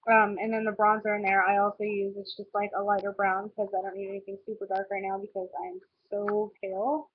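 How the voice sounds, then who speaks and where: A young woman chats through a makeup tutorial, her voice stuffy and nasal from a cold. She keeps a flat, even tone and rambles along with lots of "like" and "um". The recording sounds a little dull and lo-fi, with faint noise behind her. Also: medium pitch, a little fast, hardly any pauses. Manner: chatty, rambling with many "um" and "like". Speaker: beauty vlogger. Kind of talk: casual makeup tutorial chat. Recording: slightly dull lo-fi home recording.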